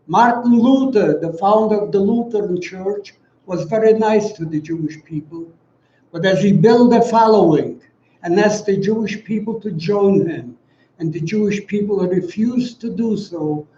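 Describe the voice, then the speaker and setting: An elderly man with a Polish accent delivers a solemn public testimony in a high-pitched, soft-toned voice. He speaks formally and deliberately, pausing for emphasis. The sound is distant and very echoey, as if in a large room. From Poland. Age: around 90. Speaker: guest speaker, Holocaust survivor giving testimony. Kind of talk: prepared commemorative speech. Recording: echoey, dull, distant, faint noise.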